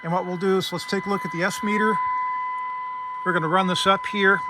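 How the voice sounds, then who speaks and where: A man's voice: sits high, huge pitch swings, a little fast, noisy background. A man hosting a casual, hands-on technical demonstration, explaining things methodically step by step. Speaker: host demonstrating vintage radio gear.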